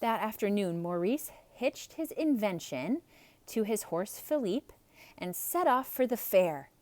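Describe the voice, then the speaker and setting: A woman's voice: medium pitch, animated, unhurried, darkish tone, no echo. A woman storyteller narrating a children's tale as a theatrical read-aloud.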